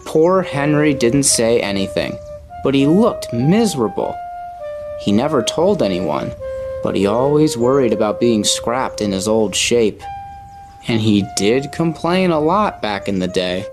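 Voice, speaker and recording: A man's voice: mid-range voice, sing-song, measured pace, music in the background. A man reading scripted storybook narration as the narrator of a children's tale.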